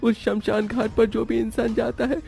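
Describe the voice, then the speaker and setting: Man voicing a high, creaky frightened villager. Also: little pitch movement, moderate pace, darkish tone, faint music behind, full character voice. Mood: worried. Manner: pleading, anxious. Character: frightened timid male villager pleading for life. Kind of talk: animated horror story dialogue.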